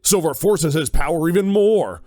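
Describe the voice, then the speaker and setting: A man's voice: a little high, animated, normal speed, mellow, no echo. A man reading scripted narration for an audio drama.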